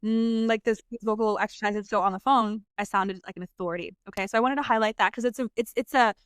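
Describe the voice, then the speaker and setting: A woman's voice: mid-range voice, fairly even intonation, fast talker, fairly bright tone, clear, resonant tone, dry recording. A woman hosting an interview podcast over a video call, bubbly and effusive, laughing often. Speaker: podcast host and sales coach. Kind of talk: casual interview chat.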